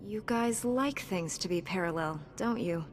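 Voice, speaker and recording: An actress playing a calm, helpful female knight hero in a video game, composed and sincere. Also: mid-range voice, unhurried, slight background noise, lightly acted. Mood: friendly, curious. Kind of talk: video game character acting.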